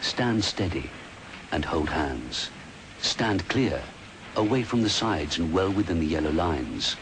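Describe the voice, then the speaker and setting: A British man giving a serious, deliberate scripted safety narration. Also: low-pitched, animated. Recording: noisy old film soundtrack.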